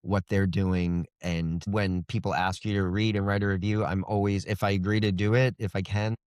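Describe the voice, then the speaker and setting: A man's voice: low-pitched, little pitch movement, moderate pace, darkish tone, smooth, no echo, studio quality. A man speaking as an author guest in a casual interview, earnest and reflective. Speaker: guest, indie fantasy author.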